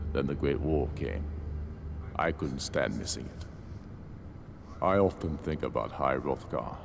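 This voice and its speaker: An actor playing a proud, grave, battle-hardened middle-aged rebel leader in a fantasy video game, speaking in a solemn, measured, oratorical way. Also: male voice, rumbling low, gravelly, heavily acted. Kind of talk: scripted video game dialogue. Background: background music.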